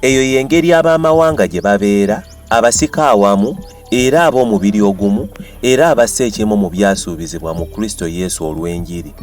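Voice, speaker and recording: A man's voice: fairly low pitch, fast talker, a little mellow. A man with a Ugandan accent giving a dramatized reading of scripture as a Bible reader. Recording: music behind the voice. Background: music.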